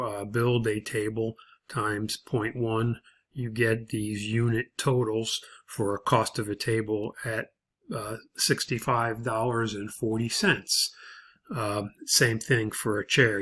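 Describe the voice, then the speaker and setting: A man instructing through an unscripted spreadsheet walkthrough, explaining things in a halting, matter-of-fact way. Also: fairly low pitch, fairly bright tone.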